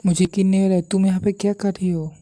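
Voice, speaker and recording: Man playing young martial arts hero, defiant, blunt, flat intonation, dark tone, story recap. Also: high voice, quick pace, slight background noise, pitched above the performer's own voice, natural voice. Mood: astonished.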